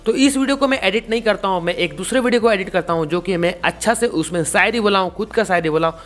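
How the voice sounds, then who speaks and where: A man's voice: high voice, fast talker, fairly bright tone. A man in his twenties with an Indian accent, hosting a casual step-by-step tutorial in a repetitive, instructional way. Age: 20s. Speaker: YouTube tutorial host.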